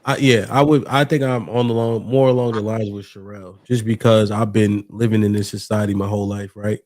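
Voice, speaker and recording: A man's voice: on the low side, fairly even intonation, brisk, a little mellow, dry recording, studio quality. A man hosting a casual debate podcast, talking in slang and often checking his points with short tag questions. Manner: slangy, punctuates points with "right?". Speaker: podcast host.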